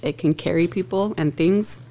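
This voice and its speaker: A woman with a low voice talks through her thoughts in a loose, casual conversation. She muses aloud and laughs easily between ideas. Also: moderate pace, mellow. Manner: reflective, laughs often. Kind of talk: casual chat.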